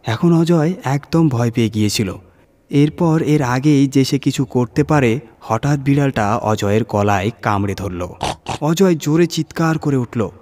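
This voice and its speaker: Male narrator for horror audio stories, mid-range pitch, scripted storytelling delivery. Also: lively intonation, measured pace, a little mellow.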